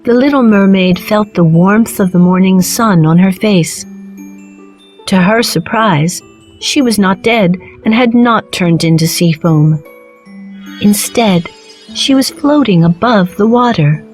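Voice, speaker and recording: A woman reading a children's storybook aloud in a slow, clear and even narration. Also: mid-range voice, soft background music.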